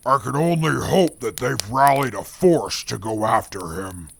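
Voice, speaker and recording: A man playing a gravelly, formal navigator. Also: medium pitch, lively intonation, a bit bright, slight background noise. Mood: calm. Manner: measured, formal, methodical. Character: calm, precise male navigator who never sleeps. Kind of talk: audio drama acting.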